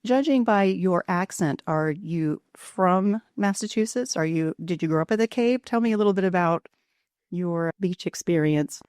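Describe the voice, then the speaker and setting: Pennsylvania woman hosting a podcast, lowish voice, laughs a lot, dry close mic. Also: moderate pace, darkish tone, clear, resonant tone, dry recording. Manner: friendly, curious interviewer who laughs often. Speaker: podcast host. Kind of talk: casual interview talk.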